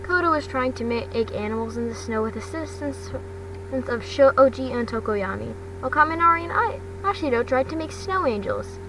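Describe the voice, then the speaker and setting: A woman's voice: high voice, expressive, normal speed. A woman reading fan fiction aloud in an amateur storybook style, often stumbling and repeating words. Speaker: fan fiction podcast reader. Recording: noisy home recording, distant mic.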